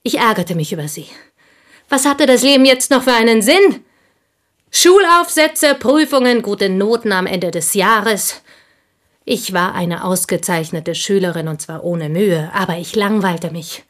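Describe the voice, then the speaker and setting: An actress playing a plain, bitter woman in a radio play, confiding old envy in an intense, intimate way that often slips into breathy whispers. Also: mid-range voice, expressive, measured pace, fairly bright tone. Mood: scornful, intense. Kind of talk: German radio play dialogue, intimate monologue.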